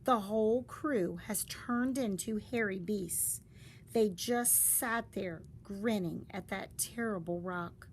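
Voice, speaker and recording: A woman reading a story aloud in a deliberate, dramatic storytelling style. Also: mid-range voice. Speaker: storybook reader. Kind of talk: expressive read-aloud of a story. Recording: faint background noise.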